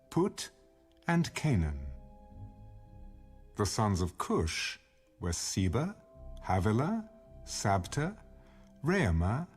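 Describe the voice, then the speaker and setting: A man reading scripture as a scripted audio Bible narration, in a measured, expressive storytelling delivery. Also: medium pitch.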